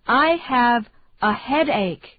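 A woman reading English phrases slowly and deliberately for learners to repeat. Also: on the high side, lively intonation. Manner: slow, deliberate, carefully enunciated. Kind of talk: slow repeat-after-me drill. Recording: dry, tinny phone-like sound.

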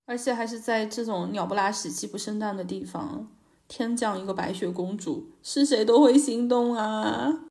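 A woman's voice: medium pitch, normal speed, a little mellow. A woman co-hosting a podcast, chatting casually and emphatically, often checking for agreement with tag questions. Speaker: podcast co-host. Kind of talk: casual chat, opinionated commentary.

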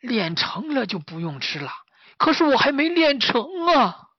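A storyteller voicing a hungry, boastful old martial arts master in a children's story, with a high, strained voice. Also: very animated, measured pace, husky, dry recording, lo-fi sound, heavily acted. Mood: whiny.

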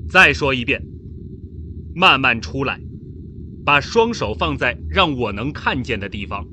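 An actor playing a tense, protective spaceship captain and uncle in a radio drama, commanding and earnest, with ambient noise behind him. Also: male voice, medium pitch, unhurried, heavily acted. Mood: stern, threatening. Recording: noisy cabin-like ambience. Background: steady ambient noise.

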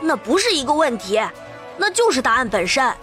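A bright, feisty boy tiger cub. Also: high-pitched, very animated, a little fast, faint music behind. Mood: resolute. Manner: feisty, stubborn. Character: defiant young male tiger demon cub. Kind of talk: Chinese fantasy audio drama acting.

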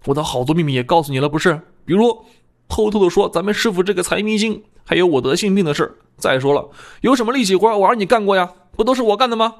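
A man's voice: high-pitched, quick pace, voice pushed up. A man voicing a disgruntled, cheeky fat apprentice in an audiobook, with an emphatic, complaining delivery.

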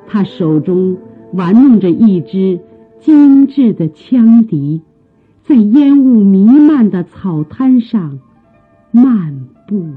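A woman narrating a radio drama in a measured storytelling style with deliberate phrasing. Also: medium pitch, animated, husky, faint music behind. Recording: muffled phone-like old radio recording.

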